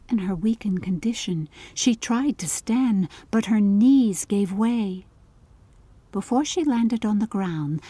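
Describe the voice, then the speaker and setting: Slow, measured woman narrating a storybook. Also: mid-range voice, a little mellow, slightly rough, no room sound. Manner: measured, deliberate, literary delivery. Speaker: audiobook narrator.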